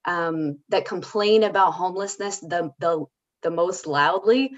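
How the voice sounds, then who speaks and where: Emphatic lower-voiced woman, slightly dull audio. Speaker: activist panelist. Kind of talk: unscripted advocacy talk on a panel.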